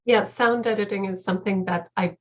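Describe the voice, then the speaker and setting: A woman's voice: mid-range voice, steady tone, a little fast. A woman chatting casually as a participant in a conference discussion, heard over a video call. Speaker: panel participant, conference staffer. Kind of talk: casual chat. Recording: slightly dull video-call audio.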